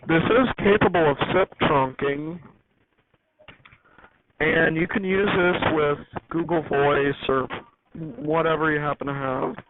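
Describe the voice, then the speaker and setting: A man's voice: fairly high pitch, steady tone, takes it slowly. A man giving an unscripted technical walkthrough as a hobbyist host, rambling and correcting himself as he explains. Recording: noisy, tinny phone-like audio.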